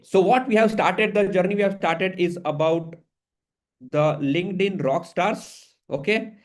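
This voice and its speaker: A man, hosting as a career coach and giving an earnest, emphatic motivational talk to his community. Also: sits high. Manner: earnest, emphatic, switches into Hindi phrases.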